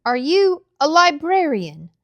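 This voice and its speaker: A woman's voice: high voice, big pitch swings, takes it slowly, dull audio, voice pushed up, exaggerated voice. A woman voicing a curious, hopeful young boy with an earnest, polite manner, in a storybook reading. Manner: earnest, questioning.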